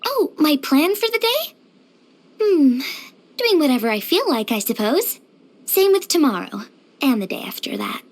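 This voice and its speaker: A woman playing a cheerful, easygoing young craftswoman in a video game, with a gentle, chirpy, earnest manner. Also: sits high, sing-song, normal speed, pauses often. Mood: carefree. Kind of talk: video game character voice acting.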